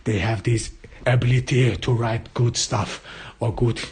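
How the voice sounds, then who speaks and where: Very husky man. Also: medium pitch, steady tone, measured pace, darkish tone. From Austria. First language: German. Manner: searching for words in a second language. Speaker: podcast host. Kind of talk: casual solo podcast monologue.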